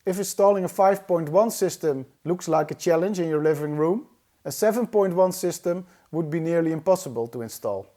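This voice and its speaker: Mellow-voiced man explaining, slightly higher pitched. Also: a little fast. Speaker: audio-video instructor. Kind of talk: explanatory tutorial narration.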